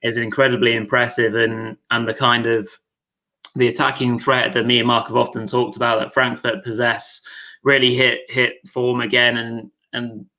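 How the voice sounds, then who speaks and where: Bright, flat-toned man co-hosting a podcast. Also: medium pitch, moderate pace, lo-fi sound. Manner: rambling, with many fillers and restarts. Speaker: podcast co-host. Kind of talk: casual podcast chat.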